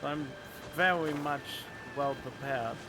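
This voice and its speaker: A man's voice: on the high side, slow, rough recording. A man giving casual commentary as he plays a video game, with music behind him. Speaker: gamer, streamer. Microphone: studio microphone.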